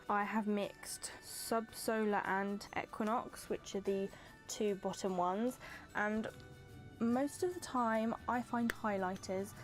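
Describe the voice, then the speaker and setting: A young woman with a stuffy, congested voice talks through her beauty favourites in a loose, rambling way, full of "like" and "kind of". Her pitch sits in an ordinary middle range. Soft music plays faintly underneath. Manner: chatty and rambling, lots of "like" and "kind of". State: congested from hay fever. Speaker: beauty vlogger. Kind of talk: casual chatty product review. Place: hot indoor room with windows open.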